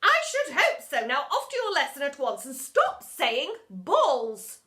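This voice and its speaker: A stern storybook headmistress, as a woman acts her out, impatient and bossy. She scolds in shouted commands, high and piercingly bright with lively swings in pitch. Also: measured pace, heavily acted. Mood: scolding.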